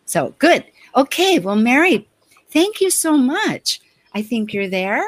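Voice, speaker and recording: A woman's voice: a little high, big pitch swings, takes it slowly, no room sound. Conversational woman host, dry close sound. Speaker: host and astrologer. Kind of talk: live astrology discussion, conversational.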